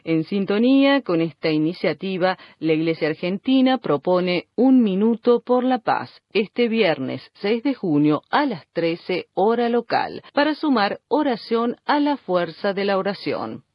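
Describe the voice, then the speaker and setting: Female news presenter, scripted read, close dry studio sound with dulled highs. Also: big pitch swings, measured pace, few pauses, no echo, highs cut off.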